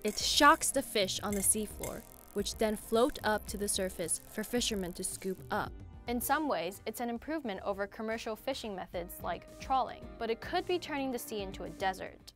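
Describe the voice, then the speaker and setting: A woman presents a scripted explainer. Her delivery is measured, at a middling pitch, with music playing underneath. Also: expressive, a bit bright. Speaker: narrator and presenter.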